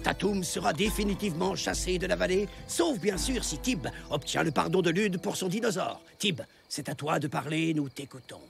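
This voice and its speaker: An actor playing a solemn elder chief who presides over a prehistoric council in a cartoon, speaking in a declamatory way. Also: male voice, sits high, expressive, normal speed, vocal fry, few pauses, faint music behind, heavily acted. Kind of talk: cartoon voice acting, French dub.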